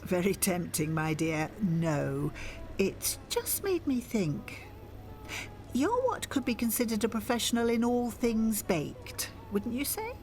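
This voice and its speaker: A woman's voice: a little high, sing-song, slow, darkish tone, soft background music. An actress playing an imperious, scheming, genteel middle-aged mother in a radio soap, formal and arch.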